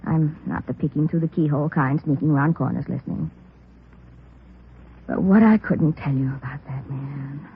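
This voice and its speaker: An actress playing a gossipy, rambling American busybody with a raspy, croaky voice in an old radio crime drama. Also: female voice, low-pitched, normal speed, slight background noise. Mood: gossipy, indignant. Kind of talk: 1940s-50s radio drama acting. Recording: tinny old radio broadcast.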